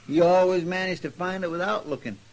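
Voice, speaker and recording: An actor playing a fretful, feisty marshal's helper in a radio western, with a rustic, stubborn manner and a Western American drawl. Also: male voice, high voice, fairly quick. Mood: worried, insistent. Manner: rustic, plain-spoken, stubborn. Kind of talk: old-time radio western acting. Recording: noisy vintage radio recording.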